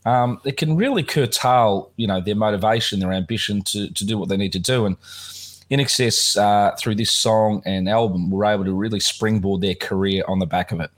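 A man hosting a music podcast, talking casually with a slightly croaky voice and lots of fillers and restarts. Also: on the low side, brisk. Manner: rambling, many fillers and restarts. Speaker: podcast host.

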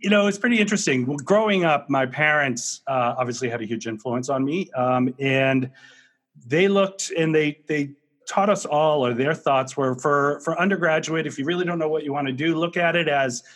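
A man's voice: fairly high pitch, moderate pace, fairly bright tone. A man in his fifties from New England, a company CEO telling his background story in an upbeat, rambling way on a podcast. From Massachusetts, New England. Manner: rambling, many fillers and restarts, upbeat. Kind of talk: casual interview storytelling.

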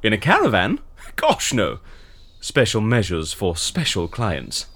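An actor playing an eager, polite and naively festive interviewer in an audio drama, chatty and theatrical. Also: male voice, on the low side, huge pitch swings, unhurried, fairly bright tone, full character voice. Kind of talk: scripted audio drama acting.

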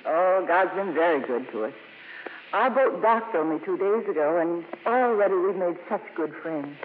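A woman playing a gentle, devout, earnest nun in a 1950s radio drama, polite and softly hesitant. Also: lowish voice, expressive, normal speed, lightly acted. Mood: gracious. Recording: narrow, noisy old radio broadcast.

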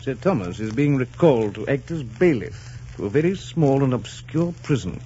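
An actor playing a shrewd, amused English king in a 1940s radio drama, speaking with deliberate, teasing pomp and dry pauses. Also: medium pitch, very animated, dark tone, slightly rough. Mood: wryly amused. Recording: muffled old 1940s radio broadcast.

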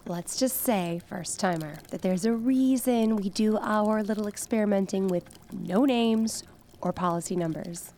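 Woman playing wry seasoned conspirator, measured and knowing, mid pitch, noisy ambience behind her. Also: mellow, close to an everyday voice. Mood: knowing, calm. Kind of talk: audio drama dialogue.

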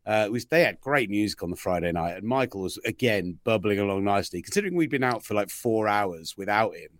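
A man's voice: fairly low pitch, quick pace. A man hosting a sports podcast, telling long rambling stories in a casual chat. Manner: chatty rambling storyteller, animated. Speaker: podcast host.

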